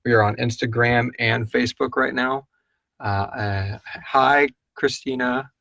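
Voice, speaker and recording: A man with a mid-pitched voice hosts a live question-and-answer stream. He talks informally and rambles a little, addressing viewers like friends. The recording is dry, with very little room sound. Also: fairly even intonation, a little slow, creaky, sharp tone, no echo. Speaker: podcast host answering listener questions. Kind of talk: casual live-stream chat.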